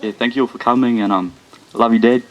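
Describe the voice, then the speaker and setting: A man with an Australian accent tinged with New Zealand speaking nervously at his father's funeral, shy and hesitant with many pauses. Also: mid-range voice, fairly even intonation, muffled recording. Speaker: son of the deceased.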